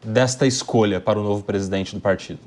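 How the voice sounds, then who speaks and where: A man's voice: fairly low pitch, fast, darkish tone. A man with a Brazilian accent moderating a live political panel as its host.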